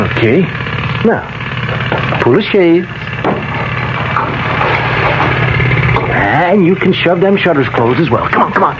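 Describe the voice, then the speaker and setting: An actor playing a cocky, bossy killer in a 1970s radio drama, taunting in street slang. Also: male voice, mid-range voice. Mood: bossy, mocking. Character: cocky, sneering male hoodlum killer on the run. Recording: muffled, noisy old radio recording.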